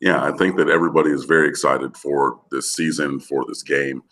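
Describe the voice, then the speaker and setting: A man with an extremely low, gravelly voice, hoarse from shouting, talks about football in a loose, rambling way. He leans on fillers and restarts, and his voice comes through a phone line. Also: steady tone, brisk. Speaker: guest analyst. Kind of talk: casual sports chat over the phone.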